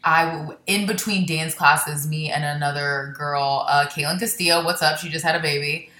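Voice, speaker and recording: Low-voiced chatty woman comedian, echoey room. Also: steady tone, sharp tone. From Florida, born in Baltimore. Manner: rambling, self-deprecating, quick asides. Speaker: stand-up comedian, guest. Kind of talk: casual interview chat.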